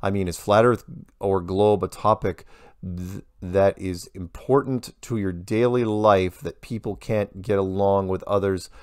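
A man's voice: low voice, fairly even intonation, unhurried, clear, resonant tone, dry recording, clean studio sound. A man hosting a show, reading listener emails aloud and commenting casually with wry asides.

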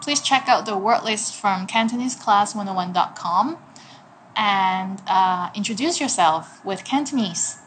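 A woman's voice: mid-range voice, unhurried, fairly bright tone, a little hiss or hum. Cheerful woman teaching phrases at home. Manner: cheerful, switching between English and Cantonese, laughing often. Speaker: language teacher. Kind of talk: casual video lesson. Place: small home room, indoors.

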